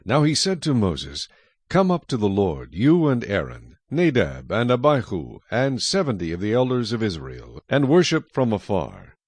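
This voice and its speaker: A man narrating scripture in a measured, solemn, scripted style for a dramatized audio Bible. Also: lowish voice, huge pitch swings, darkish tone, husky, no echo.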